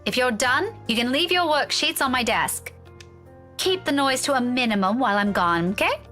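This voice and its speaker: A woman's voice: high voice, animated, moderate pace, bright, forceful tone, soft background music. A woman playing a stern but caring young schoolteacher in a video game, speaking with firm authority. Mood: firm, strict. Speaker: voice actor in a visual novel. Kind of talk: dramatic game dialogue.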